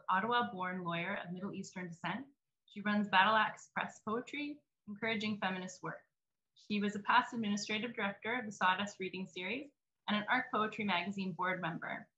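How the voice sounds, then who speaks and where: Woman host introducing readers; bright, forceful tone over dull, muffled video-call sound. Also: medium pitch. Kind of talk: reading speaker bios. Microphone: computer mic on a video call.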